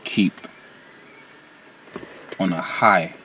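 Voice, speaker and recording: A man with a London accent, a rapper guest in a candid interview, telling stories in an animated way with London slang. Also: drawn-out words, slight background noise. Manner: lively, rising pitch when quoting himself.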